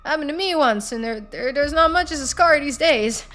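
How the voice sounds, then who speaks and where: A woman voicing an agitated, folksy country man in a game, loud and fretful. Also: high-pitched, expressive, moderate pace, faint music behind, voice pushed up. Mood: worried, hopeful.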